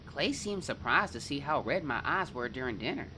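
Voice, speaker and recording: A woman's voice: rumbling low, expressive, moderate pace, noisy background. A woman playing a frightened child who recounts an ordeal in an audio drama, with emotive, dramatic storytelling. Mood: subdued. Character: frightened young Cain, a child telling a past ordeal. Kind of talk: dramatic first-person audio drama narration.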